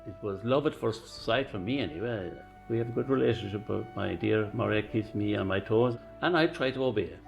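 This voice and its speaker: An older Irish man with a lowish voice tells stories in a lively, warm way. Soft music plays beneath him. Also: fairly quick, gravelly, few pauses. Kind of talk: interview.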